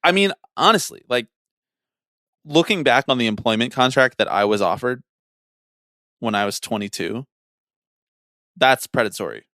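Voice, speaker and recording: A man's voice: mid-range voice, animated, moderate pace, long pauses, sharp tone, no room sound, clean studio sound. A young man co-hosting a casual sports podcast, giving his analysis in an opinionated, emphatic way. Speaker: podcast co-host.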